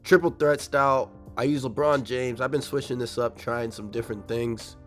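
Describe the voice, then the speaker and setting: A young man with a slightly raspy voice from a cold, casually breaking down a video game build for his viewers. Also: medium pitch, steady tone, a bit bright. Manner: fast, casual, addresses viewers directly. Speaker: gaming YouTuber. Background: faint background music.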